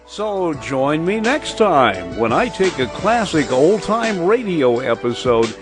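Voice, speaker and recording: A man's voice: on the high side, big pitch swings, slow pace, music in the background. A man hosting a show, giving a scripted sign-off. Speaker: host.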